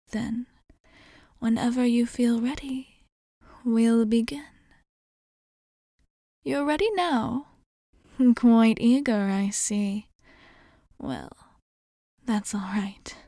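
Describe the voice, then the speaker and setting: Female actor as calm vampire bartender, ASMR roleplay: mellow, whispery, unhurried, reassuring and formal. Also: fairly high pitch, no room sound, studio quality, exaggerated voice. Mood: calm, attentive, then soft, coaxing. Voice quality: soft, breathy whispering. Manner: slow, soothing, formal and reassuring.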